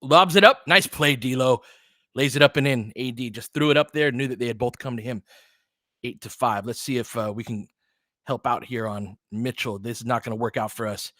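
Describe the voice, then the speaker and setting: Guy doing laid-back hoops commentary, slangy n conversational, medium pitch, very dry sound. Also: normal speed, pushed and bright, no echo. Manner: conversational, slangy, clipped phrases during play. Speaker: basketball play-by-play streamer and host. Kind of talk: casual fan commentary, play-by-play mixed with chat.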